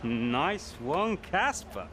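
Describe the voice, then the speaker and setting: A man's voice: a little high, very animated, very slow, background noise, heavily acted. A man playing a chummy, scheming rival racing driver in a video game, matey and insinuating. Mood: smug, matey. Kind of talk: cinematic video game acting.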